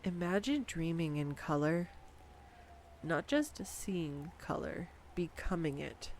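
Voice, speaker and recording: A woman voicing an excitable, nerdy young girlfriend who rambles enthusiastically with giggles in a scripted audio roleplay, recorded on a condenser microphone. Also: low voice, slow, a little hiss or hum, exaggerated voice. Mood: tender. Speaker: voice actor. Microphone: USB condenser microphone.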